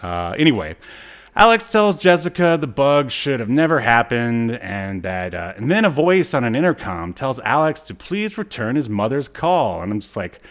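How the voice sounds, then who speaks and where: A man co-hosting a podcast, recapping in a casual, halting, conversational way that trails off. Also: medium pitch, sing-song. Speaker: podcast co-host. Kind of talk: casual chat, recapping a TV episode.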